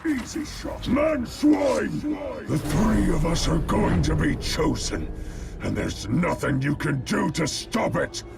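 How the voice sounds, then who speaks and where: A brutish orc captain in a fantasy game, high and wildly animated. He snarls boastful threats in drawn-out shouts, with loud music behind him. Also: vocal fry, heavy background noise, heavily acted. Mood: gloating. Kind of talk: video game cutscene acting.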